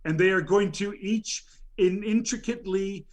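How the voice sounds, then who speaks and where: Man, high voice, aggrieved rambling nominee, lots of stammers and ums. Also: moderate pace. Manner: indignant, rambling, stammers with many fillers. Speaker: nominee on a comedy show. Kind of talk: improvised comedy rant.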